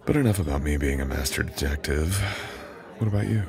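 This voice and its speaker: Very deep, gravelly immortal boyfriend acted by a man. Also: animated, crowd noise, heavily acted. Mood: warm, teasing.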